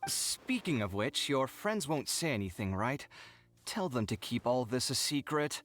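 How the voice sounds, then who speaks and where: A man's voice: mid-range voice, expressive, unhurried, a bit bright. A man voicing a flustered, indignant young artist roommate in an anime-style video game, with an exasperated, theatrical manner. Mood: worried, pleading. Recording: game dialogue with music behind.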